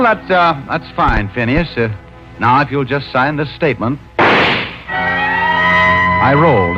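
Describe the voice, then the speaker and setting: Man acting a lively, wisecracking private eye. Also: mid-range voice, natural voice. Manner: wry, casual, hesitating with uh. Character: breezy, wisecracking male private detective narrator. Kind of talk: 1950s radio detective acting and narration. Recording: muffled old radio recording with music.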